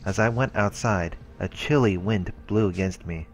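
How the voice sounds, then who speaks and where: A let's play host reading a visual novel's narration aloud, with casual asides. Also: male voice, deep voice, normal speed.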